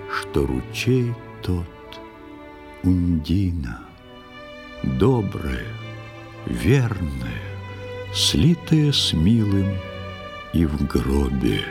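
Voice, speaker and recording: A man narrating a literary fairy tale in verse, reciting it in a solemn, measured and expressive way. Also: low-pitched, faint music behind. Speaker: storyteller narrator.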